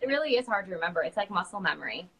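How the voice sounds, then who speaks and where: A woman's voice: mid-range voice, lively intonation, rapid-fire, fairly bright tone. A woman hosting a live video in a casual, chatty and self-deprecating way. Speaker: host of a live video series. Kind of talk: casual chat.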